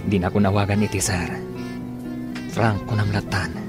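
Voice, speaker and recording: An actor voices a gentle boss confessing love in a radio drama. He talks softly and apologetically, with clear music playing behind him. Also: lowish voice, little pitch movement, normal speed, natural voice. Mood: tender. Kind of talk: Ilocano radio drama acting.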